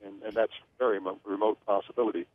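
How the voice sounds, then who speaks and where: A man speaking as an aviation analyst in a news interview, measured and hesitant with many fillers. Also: lowish voice, level tone. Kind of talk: phone-in expert interview. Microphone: phone line. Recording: tinny phone line, dry.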